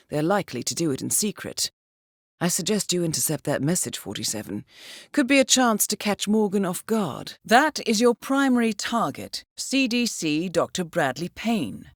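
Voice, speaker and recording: A woman's voice: fairly low pitch, dry recording. A woman playing a composed intelligence handler in a video game, briefing an assassin formally and with dry wit. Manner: composed, formal, dryly witty. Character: poised female intelligence handler briefing an assassin, cool and wry. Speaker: mission handler.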